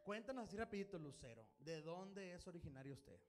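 Playful Mexican game show host, animated. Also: male voice, high-pitched, a little fast, over a noisy crowd. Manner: joking, teasing, lively. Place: small studio set, indoors. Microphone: handheld mic.

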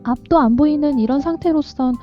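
A woman's voice: sits high, brisk. A woman reading a visual novel heroine's dialogue aloud on a game stream. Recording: dull lo-fi voice chat with music.

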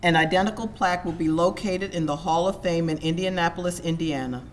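A woman's voice: lowish voice, fairly quick, a little hiss or hum. A woman serving as section president, formally reading a hall of fame induction statement at a podium microphone. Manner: formal, reads a prepared statement. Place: hotel ballroom stage.

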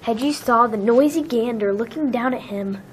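A woman's voice: on the high side, moderate pace, a little hiss or hum. A woman narrating a children's storybook, reading it aloud.

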